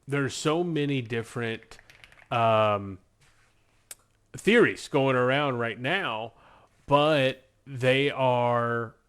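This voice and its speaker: A man's voice: medium pitch, extremely slow, pauses often, fairly bright tone, smooth. An American man co-hosting a podcast in casual, enthusiastic pop-culture chat, speaking emphatically. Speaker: podcast co-host.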